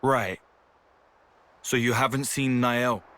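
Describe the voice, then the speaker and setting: An actor playing a blunt, earnest young fighter in a video game cutscene. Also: male voice, mid-range voice, steady tone, takes it slowly, fairly bright tone, smooth. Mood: grave, frank.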